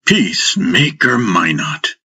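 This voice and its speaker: A man's voice: on the low side, sing-song, slow pace, bright, forceful tone, breathy edge, heavily acted. A man voicing a burly, hot-tempered young warrior in a fantasy audiobook, growling and taunting. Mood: combative. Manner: growling, combative.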